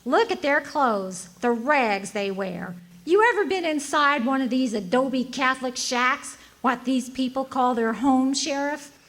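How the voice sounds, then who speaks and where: A woman's voice: high-pitched, a little slow, a bit bright. A woman acting a self-righteous, indignant frontier townswoman in a live radio drama, haranguing with haughty scorn. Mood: scornful. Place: hotel event room, live performance. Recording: live stage recording, faint noise.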